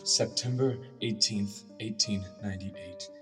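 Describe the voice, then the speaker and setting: An actor in a radio drama playing an anxious, obsessive nineteenth-century doctor who mutters haltingly to himself. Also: male voice, fairly low pitch, a bit bright. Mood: secretive excitement. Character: agitated, obsessive 19th-century German male doctor. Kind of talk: radio drama acting, monologue and journal narration. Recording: distant miking, music underneath.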